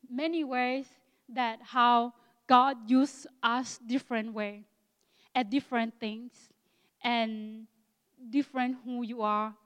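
A woman in her sixties with an Akha Thai accent gives a church talk in English that is not her first language, pausing to find words. Her voice sits a little high and comes through smooth and clear. A long reverb trails after her in the hall. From Thailand. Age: 60s. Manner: halting, searching for words, frequent fillers. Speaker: guest missionary speaker.

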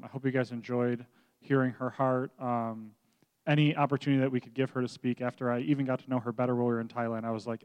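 A man making church announcements in a relaxed, upbeat way, dropping ums as he goes. His mid-range voice is smooth and clear. A long reverb hangs in the hall. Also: mid-range voice. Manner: casual, upbeat, with filler ums. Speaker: pastor.

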